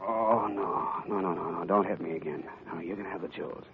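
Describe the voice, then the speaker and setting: An actor playing a hard-boiled, wisecracking private detective in terse, deadpan 1940s radio style. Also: male voice, deep voice, brisk, very noisy. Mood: groggy. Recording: dull 1940s radio recording, background music.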